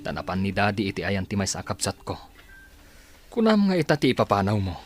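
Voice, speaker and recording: A man's voice: lowish voice, big pitch swings, a little fast. Man as a caring father over music. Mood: concerned. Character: patient, caring father advising his daughter. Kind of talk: dramatized audio drama dialogue. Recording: faint music under the voice.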